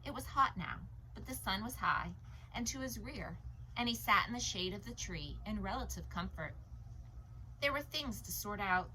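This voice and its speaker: A woman narrating a novel as a read-aloud, in an expressive audiobook style. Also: medium pitch, normal speed, rough recording. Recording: noticeable background noise.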